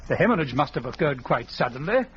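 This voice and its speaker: An actor playing a self-assured, pompous country doctor who speaks formally, in the style of a 1940s radio mystery. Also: male voice, fairly high pitch, very animated, fast. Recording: dull, noisy old radio recording.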